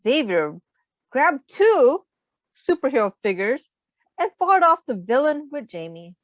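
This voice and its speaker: A woman reading a children's storybook aloud in an expressive, simple teacher's manner, pausing to put questions to young listeners. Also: high voice, dry recording.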